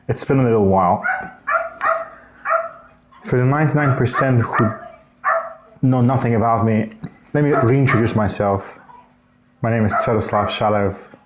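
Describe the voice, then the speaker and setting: A man's voice: mid-range voice, unhurried, pauses often, noisy background. Reflective man rambling through a vlog. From Bulgaria. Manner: reflective, rambling with restarts and self-corrections. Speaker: vlogger. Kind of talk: casual talking-head vlog.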